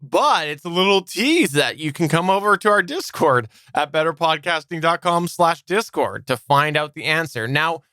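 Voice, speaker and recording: Male podcast host, fairly high crisp voice, casual discussion delivery, dry studio-like sound. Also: animated, normal speed, few pauses, pushed and bright, no echo.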